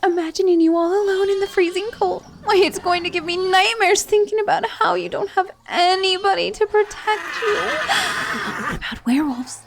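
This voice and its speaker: A woman's voice: very high-pitched, normal speed. A woman voicing a bubbly, doting female titan head who fusses and gushes in an ASMR roleplay. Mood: fretful. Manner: fussy, gushing, prim.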